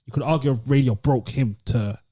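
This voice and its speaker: Male podcast host, British, rough husky voice, questioning, informal delivery. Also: mid-range voice, normal speed, warm tone. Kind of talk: casual discussion.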